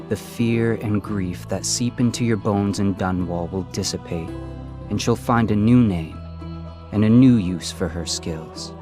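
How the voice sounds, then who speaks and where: An actor playing a calm, otherworldly male god-spirit who tells a story in a measured, detached way in a game. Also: low voice. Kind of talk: voiced game narration. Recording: game music behind.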